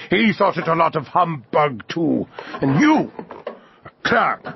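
A man acting a miserly, grumpy old businessman in a radio play, curt and scornful, with a gravelly voice. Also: on the high side, slight background noise. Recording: dull lo-fi audio with music behind.

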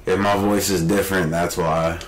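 A man's voice: deep voice, normal speed, slight background noise. A man with a stuffy, congested nose, giving casual commentary as he plays a horror game. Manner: relaxed, chatty. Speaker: gaming youtuber. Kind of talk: casual let's-play commentary.

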